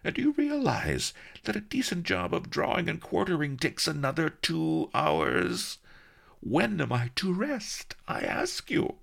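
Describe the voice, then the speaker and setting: A man's voice: a little high, wildly swooping pitch, measured pace, creaky, fairly bright tone, pitched above the performer's own voice, exaggerated voice. A man voicing a fat, overworked executioner who complains indignantly about his workload. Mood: aggrieved. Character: fat grumbling male executioner, aggrieved, overworked.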